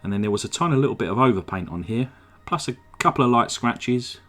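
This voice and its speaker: A man with a slightly croaky throat narrating his model car restoration at a home workbench, in a relaxed, chatty way full of "uh" fillers. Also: medium pitch, fast. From England. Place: home workshop. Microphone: desk microphone.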